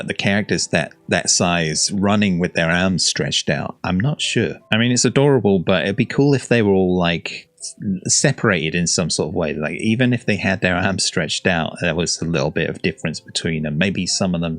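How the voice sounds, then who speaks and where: Brief: guy hosting a reaction video, low voice, rambling and jokey, dry sound. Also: dry recording. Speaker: gaming reaction host. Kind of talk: casual reaction commentary.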